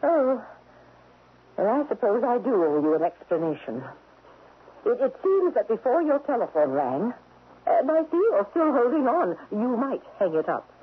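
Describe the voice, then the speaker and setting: An actress playing an imperious, wealthy widow in a radio drama, sharp and unyielding, with precise, haughty, formal diction. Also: female voice, a little high, sing-song, moderate pace, slight background noise. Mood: coolly accusing. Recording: muffled lo-fi old radio broadcast.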